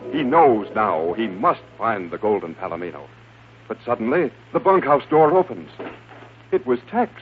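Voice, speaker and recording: Male American narrator, dramatic and highly animated, tinny vintage radio western sound with music underneath. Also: normal speed.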